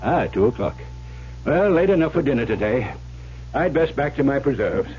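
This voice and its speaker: A man's voice: mid-range voice, very animated, moderate pace, over music, close to an everyday voice. An actor playing a stubborn, domineering old forest ranger and father in a radio drama, grumbling and emphatic. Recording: muffled, faintly noisy vintage radio recording.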